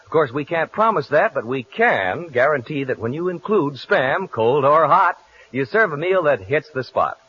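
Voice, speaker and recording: Animated American vintage radio announcer, male. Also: on the high side, normal speed. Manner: punchy sales delivery. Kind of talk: 1940s scripted radio announcing. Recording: dull, hissy vintage 1940 radio recording.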